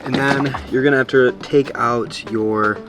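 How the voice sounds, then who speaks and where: A man's voice: mid-range voice, steady tone, measured pace, over loud music. A man hosting a DIY car tutorial, giving plain, informal step-by-step instructions in a casual how-to style.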